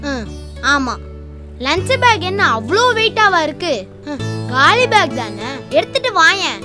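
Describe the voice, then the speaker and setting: A studious young cartoon schoolboy with a high voice. He has an Indian Tamil accent and speaks earnestly, helpful but quick to reproach. Clear music runs underneath. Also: sing-song, exaggerated voice. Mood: mildly scolding. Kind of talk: animated children's series acting.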